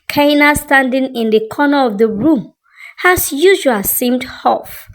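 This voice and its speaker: Slow, animated woman storyteller, higher voice. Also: low-quality recording. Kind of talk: scripted dramatic story narration.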